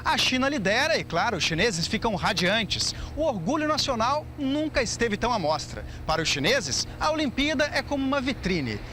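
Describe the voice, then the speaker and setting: Very animated, high-pitched Brazilian reporter. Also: male voice, moderate pace, faint music behind. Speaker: TV news reporter. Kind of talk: scripted news report narration.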